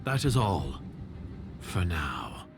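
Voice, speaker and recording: A man playing a cold, suspicious conspirator in an anime video game, measured, guarded and disdainful. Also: low-pitched, very animated, vocal fry, heavily acted. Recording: game music behind the voice.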